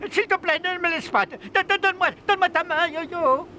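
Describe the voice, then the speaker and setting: A man's voice: very high voice, moderate pace, bright, forceful tone, slight background noise, full character voice. A man voicing a fussy, anxious toy creature with a pedantic, easily flustered manner in a cartoon dub. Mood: nervous. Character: fussy, anxious male toy creature, self-styled expert. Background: background music.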